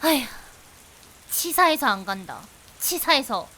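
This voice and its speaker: Actress as stubborn young lady sleuth for anime-like drama. High, swooping voice, pouty and wheedling, light rain behind. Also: unhurried, full character voice. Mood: sulky, pushy. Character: pushy, persistent young woman investigator, playful and sulky. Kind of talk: visual novel voice acting, anime-like drama. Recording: hiss with steady rain behind.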